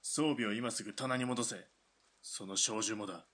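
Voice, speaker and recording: A man's voice: on the low side, normal speed, fairly bright tone. A man playing a stern Japanese army lieutenant colonel in a radio drama, curt and commanding. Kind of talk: hobby radio drama acting.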